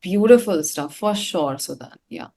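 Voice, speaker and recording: A woman with a slightly low voice talks in a loose, casual way. She is effusive and slangy, like a musician chatting in an interview. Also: animated, a little slow, mellow. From India. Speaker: singer and musician, interview guest. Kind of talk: casual chat.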